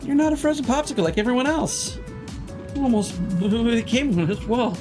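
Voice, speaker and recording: A man voicing a sassy, dryly animated video game character. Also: very high voice, normal speed, over music, pitched above the performer's own voice.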